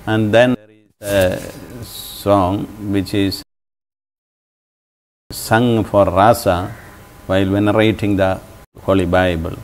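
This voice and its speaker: A man in his seventies with a Malayali English accent, speaking as an interview guest in a measured, thoughtful way, with frequent pauses. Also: lowish voice, steady tone, slight background noise, low-quality recording. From Kerala, India. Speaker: interview guest, church leader. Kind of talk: reflective conversation. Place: bright indoor sitting room. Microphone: lapel mic.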